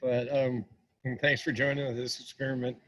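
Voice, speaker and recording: A man's voice: medium pitch, level tone, normal speed, low-quality recording. A man opening an online meetup, welcoming people in a friendly, rambling way with fillers, over a video call. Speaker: meetup organizer.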